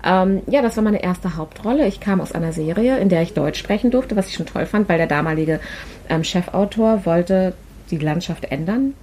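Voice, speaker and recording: A woman's voice: fairly low pitch, normal speed. Chatty woman with faint hiss behind. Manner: chatty, laughs often, lively storytelling. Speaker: podcast guest, actress and party planner. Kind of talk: casual podcast conversation.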